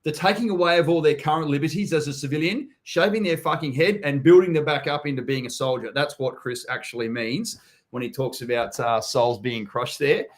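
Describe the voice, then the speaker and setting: A man's voice: on the high side, brisk. A man with an Australian accent hosting a casual live chat, blunt and swearing freely, calling everyone mate. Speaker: host.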